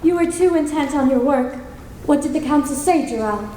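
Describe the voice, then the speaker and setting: Woman acting devoted young wife, very high voice, anxious, melodramatic radio play, heavy echo. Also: moderate pace, warm tone, lightly acted. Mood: tender, uneasy. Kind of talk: old-time radio drama acting. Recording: very echoey hall, faint noise.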